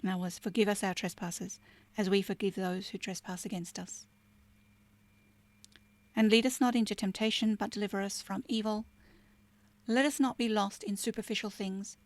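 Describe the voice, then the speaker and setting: A woman spiritual teacher giving an informal talk, chatty with fillers and restarts, turning soft and hushed when she reads prayer lines. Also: medium pitch, no echo.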